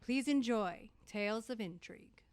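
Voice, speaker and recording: A woman's voice: fairly high pitch, moderate pace. A woman announcer reading a scripted introduction to an audio drama series. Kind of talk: scripted narration.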